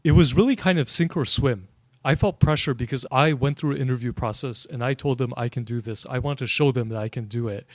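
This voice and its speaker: A man's voice: medium pitch, no room sound. A man being interviewed, recounting his experiences in an earnest, conversational way and often checking in with the listener. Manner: earnest, keeps checking in with tag questions. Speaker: interview guest. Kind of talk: conversational storytelling.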